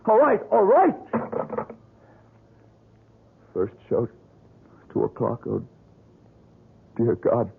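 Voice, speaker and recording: A man's voice: medium pitch, huge pitch swings, slow, vocal fry, breathy edge, full character voice. An actor playing a desperate, accusing young man who speaks urgently, in a 1970s radio drama heard over a tinny old broadcast. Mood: anxious. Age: young adult. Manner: urgent, agitated, pleading.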